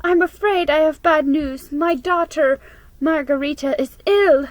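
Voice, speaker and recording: A woman's voice: high voice, unhurried, no room sound, voice pushed up. A woman voicing a breathless, distressed village mother in a storybook reading.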